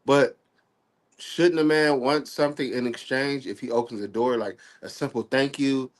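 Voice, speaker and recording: A man's voice: medium pitch, normal speed. Man in his forties, a little nasal from a cold. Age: 40s. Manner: relaxed, thoughtful, slangy. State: sick, a bit nasal. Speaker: guest on a live stream talk. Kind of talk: casual chat.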